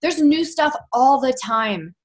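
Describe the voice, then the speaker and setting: A woman's voice: high-pitched, expressive, slow. A woman speaking as a podcast guest in a conversational, enthusiastic and motivational way. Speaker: podcast guest, biohacking entrepreneur. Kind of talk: conversational interview.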